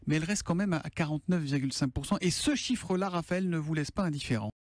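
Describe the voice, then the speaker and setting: A man hosting a radio segment, asking brisk prompting questions. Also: on the high side, expressive, no room sound, clean studio sound. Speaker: radio host.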